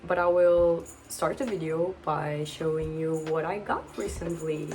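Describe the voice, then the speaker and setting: A woman's voice: low-pitched, steady tone, measured pace, darkish tone, faint music behind. A woman in her twenties, a native Portuguese speaker, chatting casually to camera at home, a little awkward and rambling, often laughing. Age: 20s. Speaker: book vlogger. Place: home with pets around.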